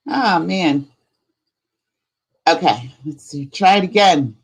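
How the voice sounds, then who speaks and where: Slow-paced woman chatting on a livestream. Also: on the low side, animated, husky. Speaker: host of a live spirituality stream. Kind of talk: casual livestream chat.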